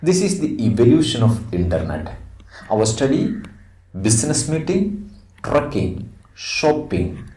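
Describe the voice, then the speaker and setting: Male teacher, Indian accent, slow and animated delivery, didactic, recorded from a little distance indoors. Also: mid-range voice. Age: middle-aged. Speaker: English teacher. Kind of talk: lesson, reading a script aloud and explaining it. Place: indoor room. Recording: roomy echo, distant microphone.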